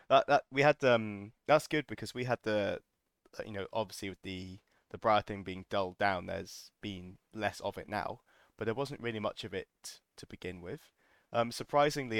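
Low-voiced man hosting a casual chat. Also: moderate pace, dry recording. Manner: relaxed, jokey, many fillers. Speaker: podcast host.

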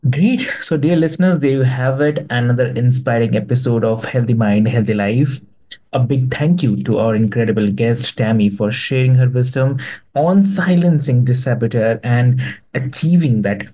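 A man's voice: mid-range voice, warm tone, poor audio. A man hosting a podcast interview, speaking hesitantly with many fillers and restarts. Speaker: podcast host.

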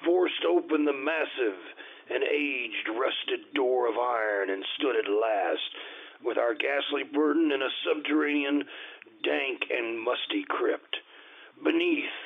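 An actor playing an anxious, loyal friend who narrates a gothic horror tale in a formal, theatrical old-time radio style. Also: male voice, mid-range voice, slow pace, vocal fry. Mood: solemn dread. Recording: muffled, band-limited radio recording with music.

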